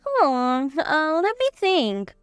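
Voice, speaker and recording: Storybook role: a woman doing a high, sharp-voiced bossy older mother-in-law, cold and contemptuous. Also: very animated, drawn-out words, no room sound, faint music behind, exaggerated voice. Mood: cold, commanding. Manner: commanding, contemptuous.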